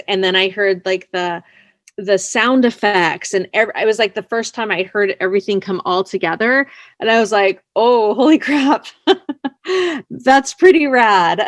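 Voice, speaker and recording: Woman, interviewed writer, casual conversation, chatty animated delivery, mid pitch, very dry sound. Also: no echo.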